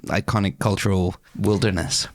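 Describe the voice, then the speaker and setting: A man's voice: low-pitched, fairly even intonation, moderate pace, no room sound, clean studio sound. A man co-hosting a podcast, joking casually and reading short narrated bits. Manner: joking, reads narration segments. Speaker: podcast co-host. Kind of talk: casual chat.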